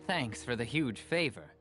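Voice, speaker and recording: Generous traveling trader for a game: mid-pitched, animated, casual and persuasive, soft music behind. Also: male voice. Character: easygoing, generous male traveling merchant and storyteller. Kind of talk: animated video game voice acting. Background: faint music.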